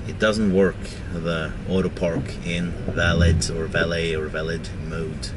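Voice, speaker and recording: A middle-aged Norwegian man whose first language is Norwegian, speaking English with a Norwegian accent, explaining car features in a plain, slightly wry way from inside a moving car. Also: medium pitch. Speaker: car owner demonstrating features. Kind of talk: casual spoken walkthrough. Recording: loud road and car noise.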